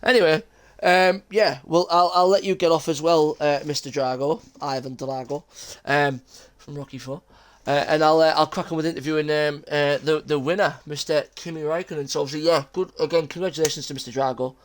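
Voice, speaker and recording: A man's voice: on the high side, fairly even intonation, a little fast, hardly any pauses. A young man hosting post-race interviews on a racing league stream, chatty and jokey. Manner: chatty, laughs a lot, jokey. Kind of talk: casual live-stream interview chat.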